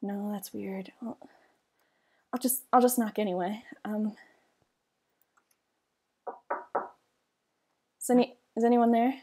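Woman acting a shy, mellow-voiced young fire mage. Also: mid-range voice, steady tone, moderate pace, breathy edge. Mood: nervous, muttering. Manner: hesitant, stammering, polite. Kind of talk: amateur roleplay acting in a game video.

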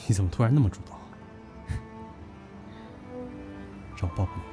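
Brash young businessman in love, male actor: low, lively, gruff and possessive, radio drama over soft music. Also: racing, natural voice. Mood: teasing, tender. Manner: blunt, cocky, bickering. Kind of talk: audio drama acting.

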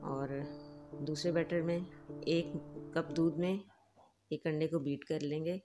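A woman with a notably low voice narrates a home recipe, step by step. Her delivery is calm and instructive, never rushed. Faint background music sits under her voice. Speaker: cooking channel host. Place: home kitchen.

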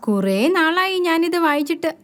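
A woman voicing a fond, sweet cartoon mother in a dubbed children's show, with a soft, gentle manner. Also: very high-pitched, sing-song, normal speed, heavy background noise.

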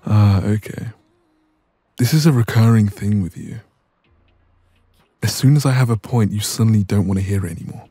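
A man playing a dominant, cocky young ex-boyfriend in an intimate audio roleplay, soft and breathy, smug and casual, close to the microphone. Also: on the low side, normal speed, long pauses, no room sound. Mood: irritated, confrontational.

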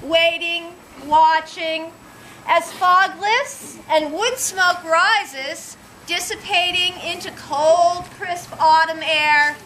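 High-voiced woman reading poems slowly. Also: fairly even intonation, sharp tone, slight background noise. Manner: slow, deliberate poetry reading. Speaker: poetry reader at a vigil. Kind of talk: reading her poems aloud. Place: outdoor gazebo at night.

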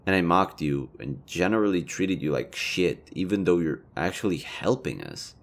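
Man acting as low-voiced, awkwardly flirty elven leader. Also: animated, moderate pace. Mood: sheepish, apologetic. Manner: conversational, hesitant, rambling, casually profane. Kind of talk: intimate roleplay audio drama.

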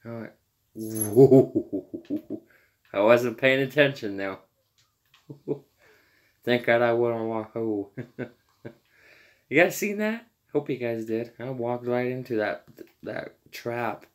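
A teenage boy casually commenting while he plays a video game, chatty and quick to laugh and apologize. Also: medium pitch, darkish tone. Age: teens. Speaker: gamer streamer.

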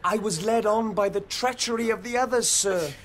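An actor playing a whining, violent fourteen-year-old British gang leader in a radio drama, talking in florid teen slang. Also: male voice, sits high, normal speed, natural voice. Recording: noisy radio drama sound scene.

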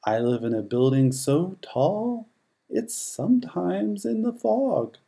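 A man narrates a children's picture book in rhyme. His voice is warm and relaxed with some creak at the edges. He takes his time, letting the pitch rise and fall expressively through each verse. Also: takes it slowly. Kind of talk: read-aloud rhyming picture book narration.

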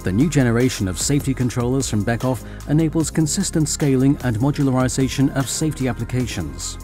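Male trade show TV narrator, warm low-key tone, soft music bed under the read. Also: fast. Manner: even, polished promotional delivery. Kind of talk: scripted narration. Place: studio voice-over.

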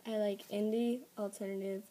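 A teenage girl chatting casually into a laptop webcam at night, soft-spoken, giggly and a little hesitant. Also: medium pitch, little pitch movement, moderate pace. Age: teens. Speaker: vlogger sharing facts. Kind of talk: casual late-night vlog. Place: small blanket fort indoors at night. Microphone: laptop webcam close up.

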